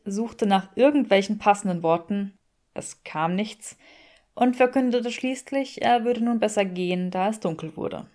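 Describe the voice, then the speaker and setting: A woman reading an audiobook, telling the story expressively in a measured, wry manner. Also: mid-range voice, darkish tone. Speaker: audiobook reader. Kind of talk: expressive scripted fiction narration in German.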